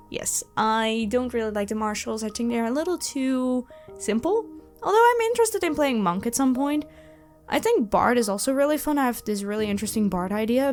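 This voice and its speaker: A woman with an enthusiastic, expressive way of talking, casually answering questions as the host of her own channel. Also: fairly high pitch. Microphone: close USB microphone. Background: background music.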